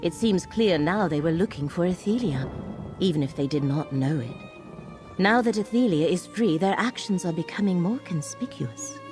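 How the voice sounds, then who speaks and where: An actress playing a grave, scholarly guide in a fantasy video game, speaking in a measured, formal, solemn manner. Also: female voice, on the low side, expressive, music in the background, lightly acted. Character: grave, scholarly woman guide to ancient ruins.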